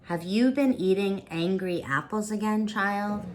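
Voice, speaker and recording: A woman voicing a caring, gentle old grandmother from a storybook. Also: medium pitch, unhurried, a little hiss or hum, natural voice. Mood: gentle teasing. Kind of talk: storybook character voice.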